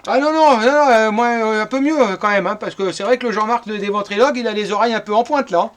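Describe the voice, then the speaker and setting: High-pitched man chatting on radio. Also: quick pace. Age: middle-aged. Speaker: amateur radio operator. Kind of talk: casual radio chat.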